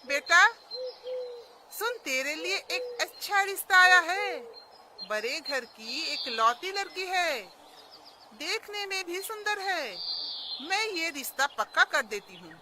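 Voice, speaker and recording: A woman's voice: very high voice, animated, slow, background noise, exaggerated voice. A woman playing a greedy, scheming middle-aged mother-in-law in a moral story, with a scornful, calculating way of talking. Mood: probing, bossy. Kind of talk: Hindi moral story voice acting.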